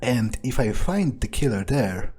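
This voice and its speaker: A man voicing a power-hungry, menacing vampire in a grandiose, brooding in-character monologue for a game playthrough, with trailing pauses. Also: mid-range voice, lively intonation, a little mellow, husky. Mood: brooding, scheming. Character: power-hungry, menacing male vampire, musing coldly on revenge.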